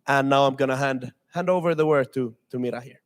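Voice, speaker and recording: A man's voice: medium pitch, brisk. A young man from Finland moderating a streamed student panel, hesitant with many filler sounds. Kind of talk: panel discussion on a livestream.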